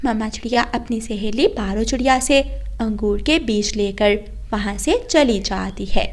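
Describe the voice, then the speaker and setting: A woman's voice: fairly high pitch, background noise. A woman reading scripted narration for a children's cartoon story.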